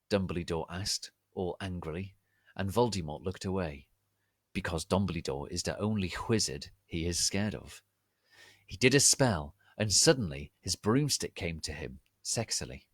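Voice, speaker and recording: A man giving a theatrical dramatic reading as narrator, with deliberate, mock-solemn, melodramatic emphasis. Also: sits low, no room sound, studio quality. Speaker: narrator reading fan fiction aloud.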